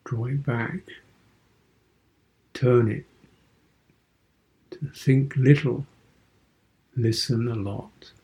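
A man guiding a meditation as a teacher, speaking contemplatively in short, sparse phrases with pauses and gentle questions. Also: medium pitch, fairly even intonation, rough recording. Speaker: meditation teacher, Buddhist monk. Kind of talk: guided meditation.

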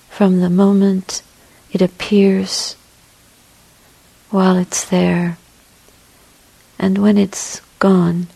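A woman meditation teacher leading a guided meditation in a soft, breathy, gentle voice, unhurried and with long pauses. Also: mid-range voice, low-quality recording.

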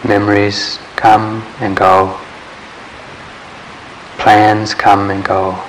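A man leading a guided meditation in a calm, measured way, leaving long pauses between short phrases. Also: fairly low pitch, low-quality recording. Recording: steady background hiss.

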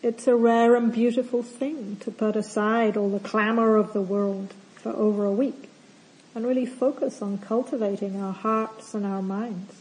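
A woman meditation teacher giving a calm, gentle opening talk at a retreat. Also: on the high side, low-quality recording. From not from Australia. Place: retreat centre hall.

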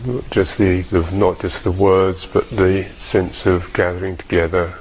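A man teaching a guided meditation in a measured, halting way with long pauses, on a dull recording. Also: low voice, flat delivery, a little mellow, slight background noise.

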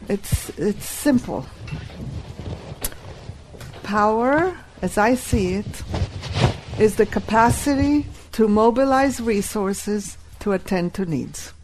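A woman whose first language is Hebrew, teaching a live workshop and deliberately repeating key phrases. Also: mid-range voice, animated, a little mellow, slight background noise, poor audio.

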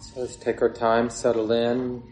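A man leading a guided meditation as a teacher, speaking gently in short phrases with long pauses between them. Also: medium pitch, completely flat delivery. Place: meditation hall with a gathered group. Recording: clear background noise, room ambience.

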